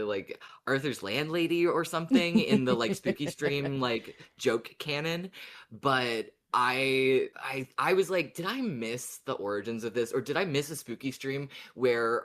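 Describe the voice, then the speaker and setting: Mid-pitched podcast co-host, musing casually. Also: a bit bright. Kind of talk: casual speculative fan chat.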